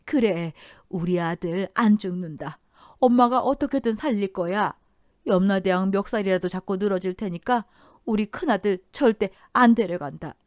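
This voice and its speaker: A woman's voice: moderate pace, no echo, studio quality, natural voice. Woman doing a wailing old mother-in-law. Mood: fierce. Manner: wailing, pleading, high-strung. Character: grieving elderly mother-in-law, desperate and fierce.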